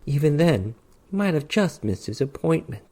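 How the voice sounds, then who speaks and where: A man's voice: a little high, very animated, moderate pace, warm tone, husky. A man reading a short story aloud as a dramatic narration, in a formal, theatrical storytelling manner. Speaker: story reader.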